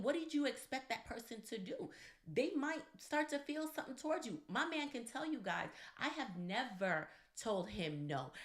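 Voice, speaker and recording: A woman co-hosts a casual podcast with her husband, her voice sitting low. She talks straight to the listeners in an animated, preachy way, and her voice rings in an echoey room. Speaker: co-host.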